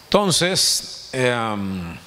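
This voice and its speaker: A man's voice: medium pitch, very animated, very slow, bright, forceful tone. A Dominican man in his fifties giving an informal Sunday teaching to a congregation, chatty and joking, often checking in with his listeners. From Dominican Republic. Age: 50s. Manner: conversational, joking, asks the congregation "¿verdad?". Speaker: church teacher. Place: church hall. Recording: long hall reverb.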